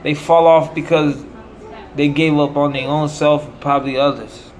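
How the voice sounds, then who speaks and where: A man's voice: fairly high pitch, little pitch movement, normal speed, slight background noise, low-quality recording. An American man delivering a rambling monologue in short, declamatory, preacher-like phrases. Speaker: self-promoting musician.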